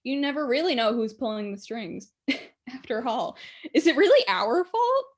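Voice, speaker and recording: A woman's voice: high voice, sing-song, moderate pace. A woman playing a sardonic, gloating demon who delivers a theatrical monologue in a conversational, mocking way. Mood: gloating, sly. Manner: sardonic, gloating, conversational, given to chuckling asides. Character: gleeful, mocking female demon, a scheming devil monologist. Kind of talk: theatrical monologue addressed to the audience.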